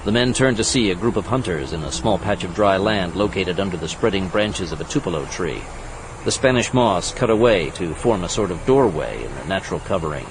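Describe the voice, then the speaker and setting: A man's voice: sits low, lively intonation, brisk, background noise. A man reading scripted, dramatic narration for an action thriller audiobook. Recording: loud vehicle and outdoor effects behind.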